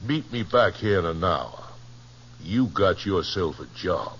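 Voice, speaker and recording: An actor playing a folksy, anxious cattlemen's association president in a radio western, with an American accent. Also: male voice, sits low, big pitch swings, a little slow, fairly bright tone, a little hiss or hum, heavily acted. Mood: decisive. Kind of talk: 1950s radio western acting. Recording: dull 1950s radio recording.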